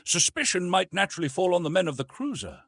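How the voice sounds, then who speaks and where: Man acting an intense French naval lieutenant, swinging pitch. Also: sing-song, fast, fairly bright tone, no room sound, studio quality, heavily acted. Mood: formal, reassuring. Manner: intense, formal, dramatic. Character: French naval lieutenant, earnest, haunted, gallant officer. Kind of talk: dramatised audiobook reading.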